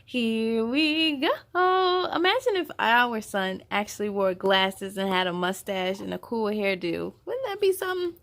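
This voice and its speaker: A woman with a high voice hosts a story time for children. She reads slowly, in an expressive, sing-song way. Between passages she chats directly to her young listeners. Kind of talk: animated children's read-aloud with chatty asides.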